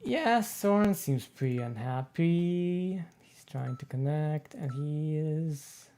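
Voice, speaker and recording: A woman playing a game with a friend and giving slow, thoughtful commentary. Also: deep voice, animated, dark tone. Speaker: game player. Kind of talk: casual chat.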